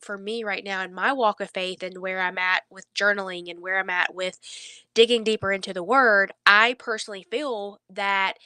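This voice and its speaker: A woman with a stuffy nose giving a heartfelt, reassuring faith talk to camera in an earnest, conversational way with halting phrasing. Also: mid-range voice, steady tone, bright, forceful tone, clean tone, no room sound. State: stuffy nose, under the weather. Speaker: faith vlogger.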